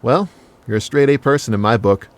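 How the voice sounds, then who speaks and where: An actor playing a cheeky, big-hearted casual lover in an audio drama, with blunt, slangy, joking talk. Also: male voice, medium pitch, brisk, lightly acted. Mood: sincere.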